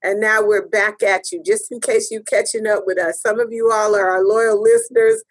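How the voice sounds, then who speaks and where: A woman co-hosting a casual podcast chat, answering her co-host with lively, affirming call-and-response encouragement. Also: medium pitch, moderate pace, dry recording. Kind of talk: casual chat between sisters.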